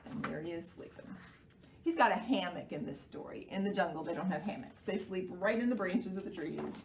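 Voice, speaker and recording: Echoey, animated woman reading to children. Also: on the low side, fairly quick, noisy background. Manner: expressive, questioning, didactic. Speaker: children's library storytime reader. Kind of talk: children's storytime narration, addressing young listeners. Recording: roomy echo, far from the mic.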